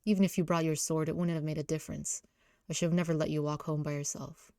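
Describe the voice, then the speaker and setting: A woman voicing a gruff, guilt-ridden young man in a visual novel readalong, speaking in a weary, blunt way. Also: low-pitched, little pitch movement, fast talker, mellow, no room sound, studio quality. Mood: guilty, blunt.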